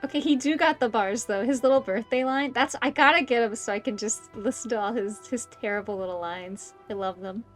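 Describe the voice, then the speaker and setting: Casting: female game streamer, fairly high voice, casual and jokey live commentary with soft music behind. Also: fairly quick, few pauses. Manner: playful, joking, reacting aloud.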